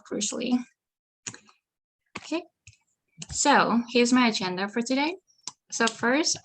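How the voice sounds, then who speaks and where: A woman's voice: medium pitch, a little hiss or hum. A woman from Vietnam with a Vietnamese accent, a PhD student giving a friendly online presentation over a video call.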